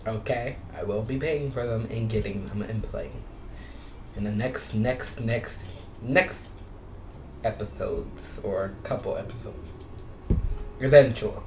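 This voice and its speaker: A man doing casual gameplay commentary, speaking haltingly and often repeating himself or restarting his sentences. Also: on the low side, background noise. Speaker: video game streamer. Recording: roomy echo with music behind.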